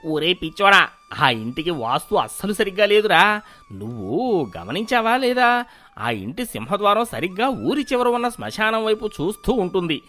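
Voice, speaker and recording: A man voicing a sly, jealous village schemer in a cartoon moral story, theatrical and ominous with drawn-out emphasis. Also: sits high, big pitch swings, bright, forceful tone, soft background music, exaggerated voice.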